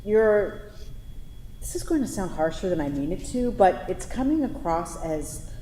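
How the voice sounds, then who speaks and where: Woman acting a blunt, mellow-voiced lover, echoey hall. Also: mid-range voice, big pitch swings, unhurried. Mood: frank, gently firm. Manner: frank, sardonic, challenging. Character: blunt, confident woman, restless lover in a quarrel. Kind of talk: staged play reading. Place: theatre stage at a live staged reading. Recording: echoey theatre hall, faint noise.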